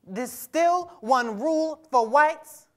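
A skeptical, aggrieved teenage boy in a stage drama, played by a young man. His voice sits very high for a male, and he talks in a colloquial, pointed way that keeps questioning and challenging. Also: slow, a bit bright, heavily acted. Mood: bitter, reflective. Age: young adult. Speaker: actor in a staged reading. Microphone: lapel mic on a stage.

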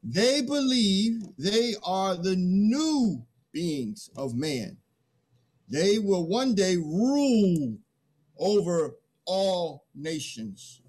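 A man playing a pleading human envoy in a play reading, halting and emphatic. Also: high voice, big pitch swings, darkish tone, exaggerated voice. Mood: proud. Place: video call, indoors.

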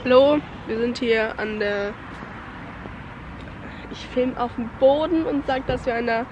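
A woman's voice: fairly high pitch, a little slow, highs cut off. A young woman chatting playfully to viewers in a casual travel vlog, filmed with a handheld camera outdoors and in a car. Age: young adult. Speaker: vlogger. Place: outdoors and in a car on a road trip. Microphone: handheld camera at arm's length. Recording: outdoor noise from traffic and wind.

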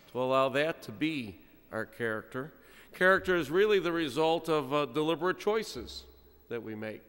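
A middle-aged man, a priest, giving an animated, humorous sermon at a pulpit microphone, with sudden quiet drops. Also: a little high, smooth. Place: large church, speaking at a pulpit. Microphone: stand mic at pulpit. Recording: long church reverb.

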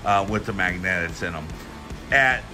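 A middle-aged American man giving a casual, folksy hands-on product review, talking in a halting, rambling way with repeated words. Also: sits low, over music. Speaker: tool review channel host. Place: indoors at a workbench.

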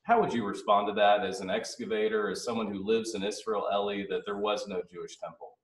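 A man's voice: fairly low pitch, fairly even intonation, fairly quick. Echoey male radio host. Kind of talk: live radio panel hosting, addressing listeners. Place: classroom at a seminary, small audience. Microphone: desk microphone on boom arm. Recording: roomy echo, somewhat distant.

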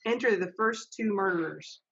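A woman's voice: medium pitch, moderate pace, vocal fry, fairly bright tone, breathy edge, lo-fi sound. A middle-aged woman, reading stage directions as narrator of an amateur readers' theater over a video call. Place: home office on a video call.

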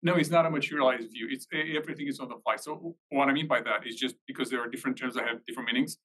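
A man's voice: medium pitch, rapid-fire, pushed and bright, breathy edge, poor audio. A man giving an informal technical explanation at a live meetup, rephrasing as he goes. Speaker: technical presenter.

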